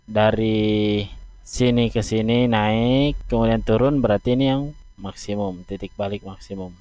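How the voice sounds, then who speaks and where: A man teaches a math lesson, pausing often as he works through a graph. His voice sits fairly low and moves at a very unhurried pace. The recording is dry and a little dull, like a cheap lo-fi mic. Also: fairly even intonation, darkish tone, no room sound. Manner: slow, deliberate, pauses often. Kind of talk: explaining at a board.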